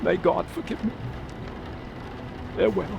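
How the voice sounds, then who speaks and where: A man acting a doomed, remorseful figure in a horror game, speaking gravely and theatrically in a deliberate monologue. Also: sits high, lo-fi sound, noisy background, exaggerated voice. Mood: grim resignation. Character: doomed, remorseful man facing evil forces.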